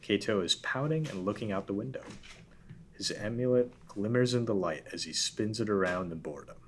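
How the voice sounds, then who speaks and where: A man reading the stage directions and narration of a script at a table read in a steady, matter-of-fact way. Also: on the low side, a little fast, a little hiss or hum.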